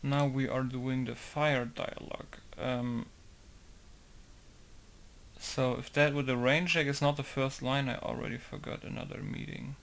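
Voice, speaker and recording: A man modding a game, chatting casually and rambling to himself while he works, with quiet mutters between his remarks. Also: medium pitch, fairly even intonation, a little slow, vocal fry. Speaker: game modder. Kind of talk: casual talk while working.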